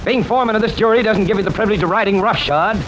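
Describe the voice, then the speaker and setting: A man's voice: high-pitched, racing, fairly bright tone, a little hiss or hum, poor audio. An actor playing a stubborn, earnest American juror who argues and narrates his own story in 1940s radio mystery style. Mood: indignant. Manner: earnest, emphatic, argumentative. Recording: vintage radio recording with music behind.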